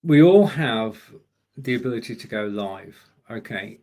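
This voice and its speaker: A man's voice: mid-range voice, lively intonation, measured pace, darkish tone. Direct, coaching man in a home studio. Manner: direct, coaching, addresses viewers. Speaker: guest expert on live streaming. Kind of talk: instructive live-stream talk.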